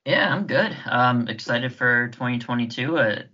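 A man of about thirty-five co-hosting a casual podcast, friendly and quick to laugh. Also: medium pitch, lively intonation, bright, forceful tone, highs cut off. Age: 30s. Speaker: podcast co-host.

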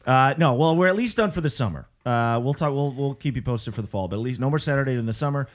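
A man's voice: mid-range voice, fast. A man, hosting a church podcast in casual chat, joking and steering the talk.

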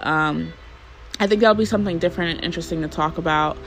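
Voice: mid-range voice, fast, a bit bright, slight background noise. Rambling, chatty podcast host. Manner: rambling, chatty, frequent fillers and casual swearing. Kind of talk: casual solo podcast monologue.

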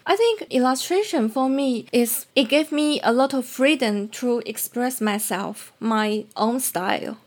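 A woman's voice: on the high side, animated, a little slow, few pauses. A woman from southern China with a Chinese accent, talking conversationally as an illustrator in an interview.

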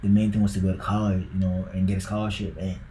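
Young Ghanaian man, low easy voice. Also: level tone, fast talker, mellow, reverberant, a little hiss or hum. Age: young adult. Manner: loose and rambling, with many likes and bros. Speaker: podcast co-host. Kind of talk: casual podcast chat.

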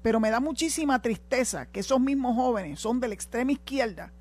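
A woman's voice: on the high side, a little fast, darkish tone. Older Puerto Rican woman, direct radio host. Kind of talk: political call-in talk.

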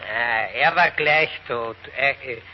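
An actor playing a flustered middle-aged Viennese porter with a German accent, who speaks halting broken English full of hesitant fillers. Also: male voice, medium pitch, lo-fi sound, natural voice. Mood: excited. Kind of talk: 1940s radio acting. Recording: hissy old radio broadcast recording.

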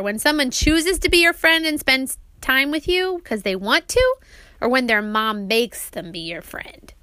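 Female author-narrator, high and bright, teacherly read-aloud of a religious children's book, prompting kids with questions, dry recording. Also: dry recording. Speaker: author reading her audiobook aloud to her children.

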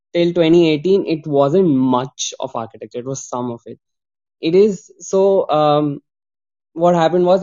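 A man's voice: a little high, warm tone. A young man in his twenties, a guest on an online talk, telling his story in an earnest, easygoing way. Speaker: guest, architecture YouTuber. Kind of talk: casual conversation. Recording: dull lo-fi call audio.

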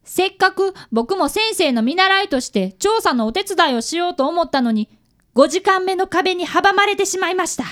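A woman's voice: extremely high pitch, expressive, normal speed, a bit bright, dry recording, studio quality. A woman voicing an earnest fifth-grade boy who is an apprentice detective and narrates a radio drama. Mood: earnest narrating. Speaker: narrator and actor.

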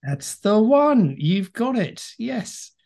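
A man's voice: high voice, sing-song, takes it slowly, creaky, dark tone. A man hosting a podcast, upbeat and friendly, interviewing his co-host. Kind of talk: casual podcast chat.

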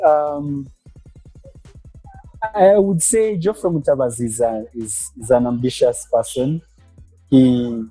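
A young man from Uganda, a guest entrepreneur, talking in a podcast interview with hesitant pauses and restarts. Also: medium pitch, husky, dry recording, low-quality recording. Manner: hesitant, many fillers and restarts, soft-spoken.

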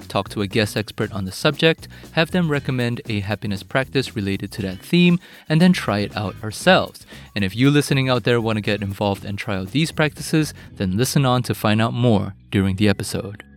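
A man's voice: lowish voice, animated, a little fast, hardly any pauses, soft background music. A young man from Singapore with a Singaporean English accent, hosting a podcast in an eager, upbeat way. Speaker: podcast host. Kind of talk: podcast interview.

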